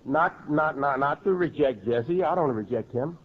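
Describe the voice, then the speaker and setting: A man's voice: a little high, lively intonation, moderate pace, narrow, tinny audio. A man preaching a sermon in an emphatic way, repeating and rephrasing his words as he builds each point.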